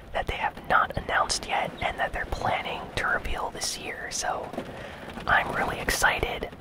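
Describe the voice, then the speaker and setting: Casting: ASMR gaming news host, fully whispered and breathy, informal delivery. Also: extremely high pitch, rough recording.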